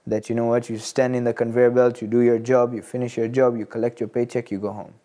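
A man in his early twenties from Tanzania, speaking as an interview guest in a measured, hesitant and earnest way. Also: mid-range voice, level tone, warm tone. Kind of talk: studio interview, personal reflection. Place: studio. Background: plucked guitar music.

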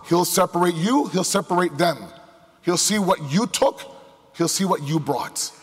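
High-voiced male preacher in a reverberant hall. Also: normal speed, breathy edge. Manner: emphatic, with rhetorical questions and repetition. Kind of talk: sermon to a live congregation. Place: large indoor hall before a crowd. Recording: echoey hall with long reverb.

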